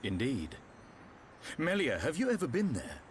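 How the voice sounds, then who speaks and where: A man playing a calm, knowledgeable warrior and mentor in a video game, explaining things in a measured, assured way. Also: medium pitch, a little hiss or hum. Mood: thoughtful. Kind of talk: video game cutscene acting.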